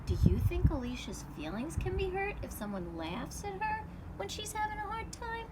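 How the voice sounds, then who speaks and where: A woman's voice: very high-pitched, big pitch swings, moderate pace, voice pushed up. A woman voicing a patient, kind schoolteacher in a storybook, reassuring and encouraging as she explains. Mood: gentle, earnest. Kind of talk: storybook read-aloud character voice. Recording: loud background noise.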